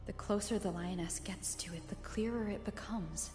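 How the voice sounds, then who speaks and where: A woman's voice: medium pitch, normal speed, darkish tone, faint music behind, natural voice. Echoing, thoughtful young woman adventurer, voiced by an actress. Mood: calm, pensive. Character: calm, thoughtful young female wandering adventurer. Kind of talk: video game dialogue. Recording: echoey reverberant game audio.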